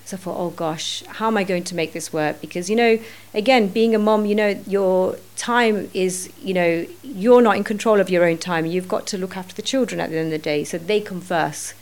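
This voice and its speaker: A middle-aged woman, a podcast guest, talking earnestly and thinking aloud, often pausing with fillers. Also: lowish voice. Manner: earnest, thinking aloud with many "you know"s. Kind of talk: reflective podcast conversation.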